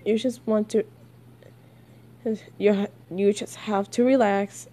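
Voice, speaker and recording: Soft, slow, sinister villain voiced by a woman. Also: mid-range voice, fairly even intonation, close to an everyday voice. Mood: sinister coaxing. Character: calm, coaxing, sinister villain manipulating a youth.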